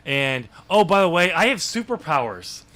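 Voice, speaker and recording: An American man in a casual podcast chat, talking haltingly and often restarting his sentences. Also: fairly high pitch, big pitch swings, a bit bright. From New York State, US. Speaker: podcast host and author discussing his novel.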